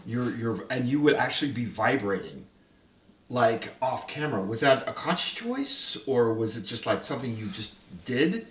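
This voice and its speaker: Male host, casual and animated, lots of "like"s and laughs, recorded off-mic in an echoey room. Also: mid-range voice, normal speed. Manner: animated, laughing often, many fillers. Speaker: host, director of the radio play. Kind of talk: casual roundtable chat. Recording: roomy sound, mic at a distance.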